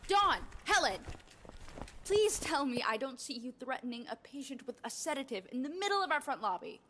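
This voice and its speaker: A woman's voice: high-pitched, very animated, moderate pace, a bit bright, slight background noise. A woman playing a guarded, authoritative nurse at a sinister institute in a horror audio drama. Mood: commanding.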